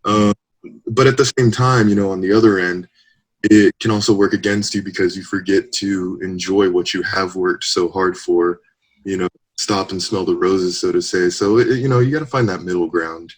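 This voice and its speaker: A man in his late twenties talks openly as an interview guest, thinking out loud and laughing lightly between points. His voice sits a little low. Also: fairly even intonation, moderate pace, slightly rough, low-quality recording. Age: 20s. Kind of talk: casual interview chat.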